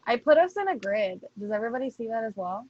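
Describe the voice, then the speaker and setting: A woman's voice: on the high side, very animated, fast talker, darkish tone, no echo, dull audio. A woman talking casually and informally as part of a group conversation. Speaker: podcast cast member. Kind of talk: casual chat.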